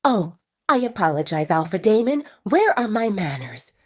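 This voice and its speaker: A woman voicing a stern, protective female werewolf second-in-command in an audio drama, firm and sharp-tongued. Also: mid-range voice, sing-song, normal speed, no echo, clean studio sound. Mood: sarcastic. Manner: firm, sarcastic.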